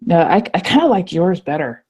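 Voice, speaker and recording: A young woman in a student group video call, hoarse from illness, chatty and apologetic with lots of ums. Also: lowish voice, big pitch swings, a little fast, darkish tone. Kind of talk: casual video call meeting. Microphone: computer mic on a video call. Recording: dull lo-fi call audio.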